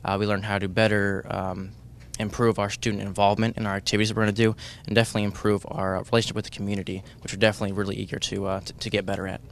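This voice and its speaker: Young guy talking fast, lowish voice, lots of ums, student interview. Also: level tone, vocal fry, slight background noise. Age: young adult. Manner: halting, frequent ums. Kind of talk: casual interview answers.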